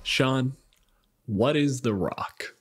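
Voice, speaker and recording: A man's voice: medium pitch, drawn-out words, heavy background noise. A man from Canada co-hosting a podcast, explaining and bantering casually. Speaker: podcast co-host.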